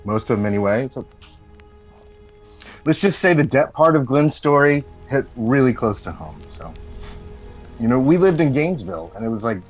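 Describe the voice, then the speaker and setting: A man's voice: mid-range voice, animated, moderate pace, long pauses, close to an everyday voice. A man playing a plain-spoken former salesman and survivor in a drama table read. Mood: matter-of-fact. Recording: dull video-call microphone, music.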